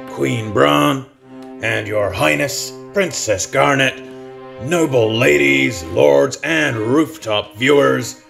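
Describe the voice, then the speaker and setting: A man playing a grand theatrical pig-snouted troupe leader, declaiming in a gravelly voice for an audiobook drama. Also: medium pitch. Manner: grandiose, slow stage declamation.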